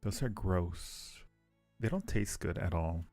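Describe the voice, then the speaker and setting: A man's voice: low voice, animated, slow pace, a little mellow, soft background music. A man chatting casually and playfully with viewers on a livestream, teasing them affectionately. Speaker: live streamer hosting a chat.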